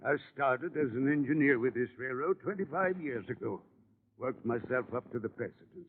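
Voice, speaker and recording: An actor playing an embittered old railroad president, speaking with emphatic, earnest pleading in a 1950s radio drama. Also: male voice, mid-range voice, normal speed, close to an everyday voice. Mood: proud, pleading. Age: older. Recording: muffled, faintly noisy old radio broadcast.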